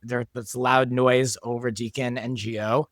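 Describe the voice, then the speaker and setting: Man, creator-writer, even middle voice, thoughtful explainer, dry close mic. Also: medium pitch, unhurried, dry recording. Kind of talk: casual chat.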